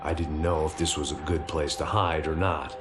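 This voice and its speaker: An actor playing a weary, cynical middle-aged ex-cop, narrating in a gravelly noir voice-over for a video game. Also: male voice, rumbling low, flat delivery, normal speed. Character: weary hard-bitten older male ex-cop. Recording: game audio with loud music.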